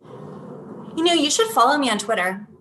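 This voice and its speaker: Woman acting a vindictive guest, snide, rather high pitch, comedy table read, faint noise. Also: expressive, normal speed, bright, forceful tone. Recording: faint noise and room ambience.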